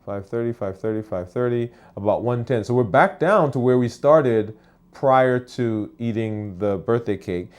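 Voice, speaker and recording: A man's voice: medium pitch, measured pace, darkish tone. A man, a physician, hosting a casual live stream from his office, chatting with fillers and restarts while he answers viewer comments.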